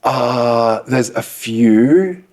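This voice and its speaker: A man with an Australian accent, a program director answering interview questions in a relaxed, joking way. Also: medium pitch, extremely slow. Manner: relaxed, self-deprecating humor. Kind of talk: casual interview.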